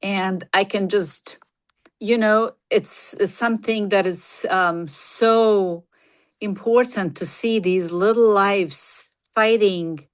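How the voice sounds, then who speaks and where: A woman's voice: mid-range voice, takes it slowly. A middle-aged woman from Bosnia, a native Bosnian speaker, talking casually as an interview guest. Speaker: podcast guest, nurse practitioner and clinic founder.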